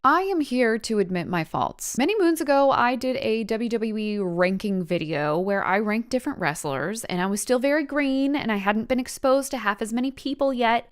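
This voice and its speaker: A woman's voice: fairly high pitch, fairly bright tone, clear, resonant tone. A woman hosting a vlog, giving enthusiastic commentary in an informal, slangy, effusive way. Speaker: host.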